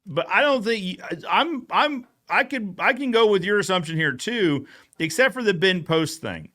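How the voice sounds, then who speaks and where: A man hosting a casual, bantering recap show in an animated, informal way. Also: sits high, moderate pace. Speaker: show host.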